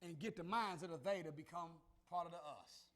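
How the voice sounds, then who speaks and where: A man preaching a sermon to a congregation, calling out to them and building to shouts. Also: fairly high pitch, fairly quick. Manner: call-and-response preaching, rising to shouts. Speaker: minister.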